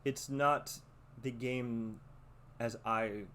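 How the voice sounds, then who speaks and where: A man hosting a podcast monologue, speaking in a halting, deliberate and reflective way. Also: mid-range voice, steady tone, sharp tone. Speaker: podcast host. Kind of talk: podcast monologue with read-out letter and commentary. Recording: noticeable background noise.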